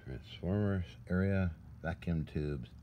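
A man with a low, mellow voice shows equipment as a restorer would, casually and off the cuff. He talks haltingly, muttering folksy asides. The handheld workshop recording carries some faint noise. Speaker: radio restorer. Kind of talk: casual hands-on equipment walkthrough. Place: indoor cluttered workshop. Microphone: handheld camera or phone, behind the lens. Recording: noisy handheld recording with room ambience.

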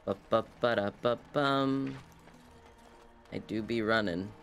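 A man's voice: lowish voice, takes it slowly, gravelly, fairly bright tone, faint music behind. A man streaming a game with casual live commentary, full of playful sing-song asides.

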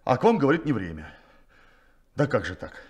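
An actor playing a simple, earnest and proud sailor in a 1970s Soviet radio play, talking in a colloquial, halting way. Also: male voice, mid-range voice, very animated, darkish tone, slightly rough. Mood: troubled, protesting.

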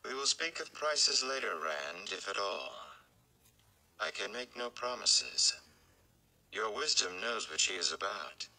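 Guy reading as a tired but commanding sorceress in a fantasy book, calm, measured, low and creaky. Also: full character voice, rough recording.

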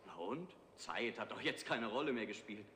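An actor playing an irritable, sardonic radio officer on a space crew, in 1960s TV drama style. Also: male voice, on the low side, animated, normal speed, sharp tone. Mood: wry, casual. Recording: reverberant, hissy 1960s TV soundtrack.

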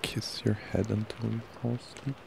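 A man doing an intimate boyfriend ASMR roleplay close to the mic, murmuring softly, drowsily and affectionately. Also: level tone, moderate pace, noisy background. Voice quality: low, gravelly, creaky. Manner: soft, drowsy, affectionate murmuring, often breathy half-whisper. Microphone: close ASMR microphone.

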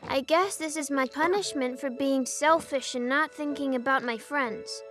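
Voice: sits high, normal speed, pushed and bright, faint music behind. An actor voicing an earnest, sweet and insecure little boy mascot in a children's cartoon. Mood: downcast. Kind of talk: children's animated series dubbing.

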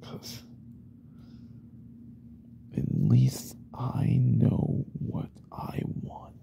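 A man playing a jealous, unhinged yandere boyfriend in an ASMR roleplay, speaking softly and often in whispers, intense and controlling. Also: mid-range voice, extremely slow, heavily acted. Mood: possessive, menacing. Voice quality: soft, breathy, often whispered.

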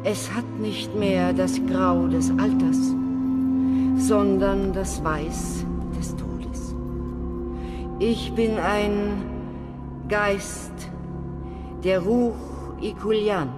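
A woman's voice: medium pitch, drawn-out words, long pauses, loud music behind the voice. An older actress playing an ancient, revered wise woman who speaks in a solemn, prophetic way in a radio drama.

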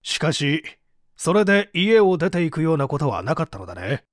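A man reading dramatically as a formal prosecutor, questioning a suspect in a measured, pressing way. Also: fairly high pitch, sing-song, dry recording, studio quality. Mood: probing, stern.

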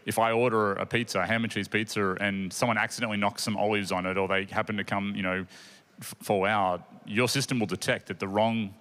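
A man's voice: lowish voice, steady tone, brisk, a bit bright. A man in his forties hosting a conference stage panel on a handheld mic, talking in an eager, chatty way with many restarts. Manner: chatty, restarts and fillers, enthusiastic. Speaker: panel host. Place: large conference stage before an audience.

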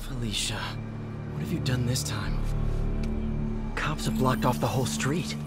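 An American actor playing an earnest, wry young superhero in a video game. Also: male voice, medium pitch, a little slow, over loud music, close to an everyday voice. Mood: puzzled, focused. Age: young adult. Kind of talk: video game cutscene acting.

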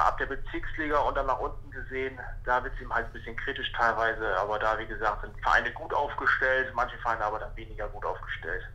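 Bright, forceful man on phone. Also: medium pitch. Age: 30s. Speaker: football referee, interview guest. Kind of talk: spontaneous phone interview. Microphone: telephone. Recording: telephone line.

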